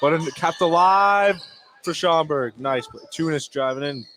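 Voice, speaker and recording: A man doing live play-by-play basketball commentary for radio in clipped phrases, lifting into excited calls on big plays. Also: on the high side, a little slow, soft background music. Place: indoor basketball gymnasium. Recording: noisy gym ambience. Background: gym noise, bouncing basketball.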